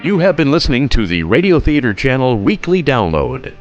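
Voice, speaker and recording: Lively male outro announcer, dull sound. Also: mid-range voice, brisk. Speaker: announcer for radio channel. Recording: dull sound, music behind.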